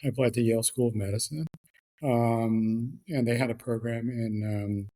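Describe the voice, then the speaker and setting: A man's voice: medium pitch, steady tone, dark tone. A middle-aged man from the Bronx, a guest speaking over a remote call, talking casually with many fillers and restarts. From New York, the Bronx. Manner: halting, many fillers and repeated words. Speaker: guest, regulatory affairs expert. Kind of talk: casual interview chat.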